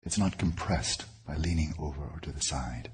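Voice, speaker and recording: Man, very deep voice, strongly husky and breathy, guided meditation, deliberate phrasing, frequent pauses. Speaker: meditation teacher.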